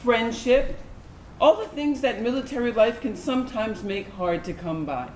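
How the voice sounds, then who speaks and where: A woman acting in a staged reading as a grown tomboy from a big military family, reflecting in measured phrases. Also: on the high side, lightly acted. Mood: reflective, fond. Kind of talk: reflective monologue read from a script to an audience. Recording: roomy stage recording with faint noise.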